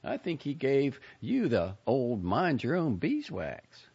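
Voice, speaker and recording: A man voicing a wry, defensive young woman in an audiobook story, with a dry, sharp-tongued manner. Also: medium pitch, huge pitch swings, takes it slowly, gravelly, husky, lo-fi sound, voice pushed up, exaggerated voice. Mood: skeptical.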